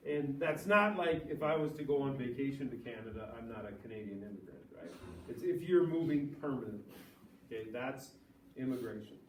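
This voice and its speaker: Man teaching a class from across the room. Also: medium pitch. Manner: casual, chatty storytelling to students. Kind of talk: classroom lecture. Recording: echoey classroom from far off, noisy.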